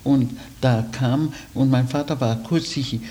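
A man's voice: medium pitch, a little slow, dark tone. An elderly man, reminiscing in anecdotes as an interviewee.

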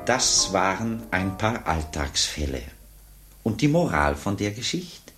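An actor playing a wry narrator who recites a rhyming moral in the style of 1950s radio. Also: male voice, deep voice, very animated, a little slow. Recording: old radio recording with music.

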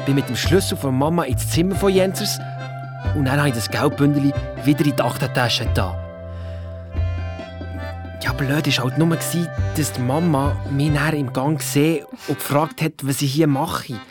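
A man's voice: fairly high pitch, normal speed, over music, close to an everyday voice. An actor playing an impulsive, cheeky young boy with a Swiss German accent, telling his adventure in an audio drama. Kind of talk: colloquial first-person storytelling in an audio drama.

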